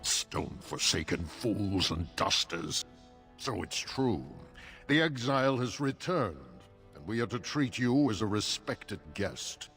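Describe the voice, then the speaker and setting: A man's voice: on the low side, big pitch swings, takes it slowly, a bit bright, soft background music, full character voice. A man playing a stern, weary dwarf assembly steward in a video game, speaking with formal authority.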